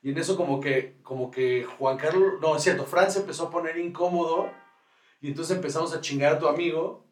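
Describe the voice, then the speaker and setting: A man's voice: fairly high pitch, fairly quick. A Mexican man hosting a casual comedic podcast, rambling and joking in slangy speech as he tells stories. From northern coastal Mexico. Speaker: podcast host. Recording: roomy echo, distant mic, music behind.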